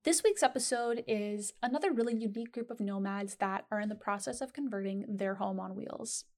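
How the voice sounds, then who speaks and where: A woman's voice: medium pitch, fairly quick, darkish tone. Woman reading a podcast intro. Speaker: podcast host. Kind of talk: scripted intro.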